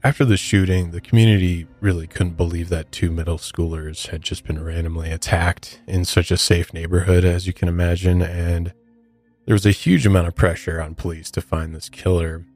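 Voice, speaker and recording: A man with a deep voice tells a true crime story as a podcast host. He is conversational and explanatory, talking straight to the listener, with music faintly underneath. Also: fairly even intonation, normal speed, a little mellow.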